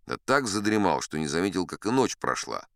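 A man's voice: sits low, sing-song, a little fast, a bit bright, no room sound, studio quality, heavily acted. A man playing an older sea captain who spins a yarn in a dramatized audiobook, wry, boastful and conversational. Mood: wry, rueful. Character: old sea captain storyteller, folksy, boastful, dignified.

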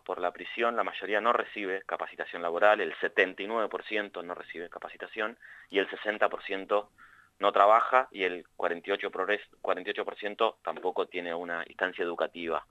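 Columnista argentino, voz grave y seca, vacilante, por teléfono de baja calidad. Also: male voice, sits low, pushed and bright, no room sound, lo-fi sound. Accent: Argentine Spanish. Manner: hesitant, many restarts and fillers. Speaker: radio columnist. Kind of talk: phoned-in radio column. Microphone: phone line.

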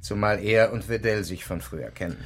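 An actor playing a pragmatic intelligence officer in a radio drama, measured, formal and evasive. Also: male voice, fairly low pitch, husky. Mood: matter-of-fact. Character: smooth-talking, pragmatic male intelligence officer handling informants. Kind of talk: naturalistic radio drama dialogue.

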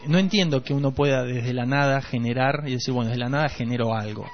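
A man's voice: medium pitch, fast. Argentine man, muffled radio interview. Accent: Argentine Rioplatense. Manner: earnest, rephrases and repeats for emphasis. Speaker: musician and producer, radio guest. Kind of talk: reflective conversational interview. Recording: dull lo-fi radio, faint music behind.

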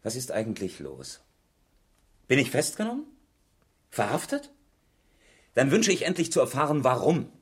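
A man's voice: medium pitch, huge pitch swings, moderate pace, long pauses, husky. A man acting an indignant, evasive engineer under police questioning in a 1970s East German radio crime drama, defensive in manner. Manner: protesting, defensive. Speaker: actor.

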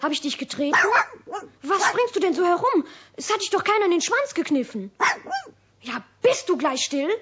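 A woman's voice: very high voice, sing-song, moderate pace, a little hiss or hum. A woman playing a cheeky, stubborn young boy who protests insistently in a children's radio play. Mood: scolding. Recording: dull, lo-fi old radio recording.

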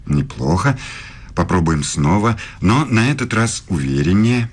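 A very low male voice, a middle-aged professor in a radio play. He courts in formal, measured phrases, intimate and insinuating. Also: sing-song. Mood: seductive, teasing. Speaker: radio drama actor.

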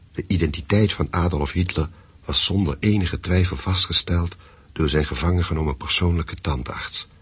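An actor playing a grave, methodical investigator in a radio drama, with a husky voice and a measured, deliberate delivery. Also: male voice, extremely low pitch, fairly bright tone, heavily acted. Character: grave, methodical male investigator piecing together a wartime secret. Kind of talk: Dutch radio play acting.

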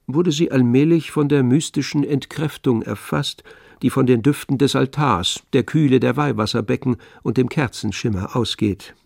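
Gravelly male radio-play narrator. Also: medium pitch, a little mellow, no echo, clean studio sound. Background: music.

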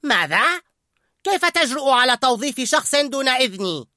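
A pompous, short-tempered male head chef from a storybook who hides his anger behind fake courtesy, as a woman puts him on. The voice is pitched high with a bright edge, dry and close. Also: very animated, normal speed, no echo, full character voice. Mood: angry. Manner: pompous, falsely polite.

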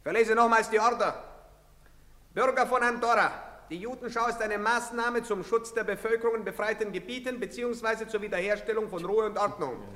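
Shrill barking bully of a soldier. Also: male voice, fairly quick, bright, forceful tone. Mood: officious, stern. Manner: barking, bullying commands, coarse and impatient. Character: brutal barking soldier marshalling frightened townspeople. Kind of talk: theatrical stage drama acting. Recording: reverberant theatre hall recording.

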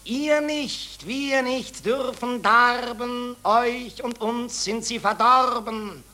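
A man playing a carrion raven in a radio drama, declaiming rhymed verse with smug, drawn-out relish. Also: extremely high pitch, sharp tone, exaggerated voice. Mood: smug gloating. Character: carrion ravens, smugly gloating war profiteers.

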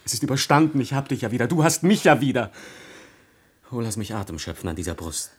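An actor playing a passionate, defiant young nobleman in love in a classical tragedy radio play, declaiming in a husky voice. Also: medium pitch, big pitch swings, quick pace. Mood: fervent, troubled. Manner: declamatory, impassioned.

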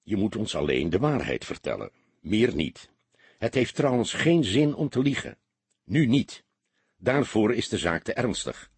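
Man as a husky, calm senior male detective. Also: on the low side, no echo, heavily acted. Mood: hushed, grave. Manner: measured, reassuring, firm.

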